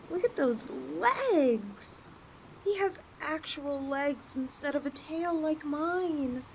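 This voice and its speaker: A woman's voice: sits high, lively intonation, takes it slowly, slight background noise, full character voice, poor audio. A woman playing a possessive, teasing snake girl in an ASMR audio roleplay, with a coy, cooing delivery. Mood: cooing, fascinated. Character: possessive, teasing young yandere lamia girl, half snake.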